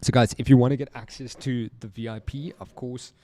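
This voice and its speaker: Laid-back South African man, mellow voice. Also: mid-range voice, steady tone, fairly quick. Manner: laid-back, joking, laughs often, slangy. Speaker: livestream trading host. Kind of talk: casual live-stream chat.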